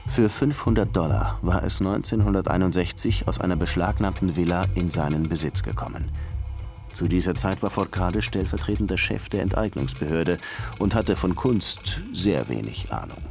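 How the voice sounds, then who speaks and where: An actor playing a dry, world-weary police lieutenant who narrates his case in a measured, wry way for a crime radio drama. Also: low-pitched, vocal fry.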